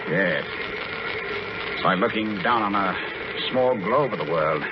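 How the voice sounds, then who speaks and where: A man's voice: on the low side, animated, a little slow, heavy background noise. An actor playing an earnest spaceship officer who narrates his voyage in a dramatic 1940s radio play, on a muffled old recording. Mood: assured.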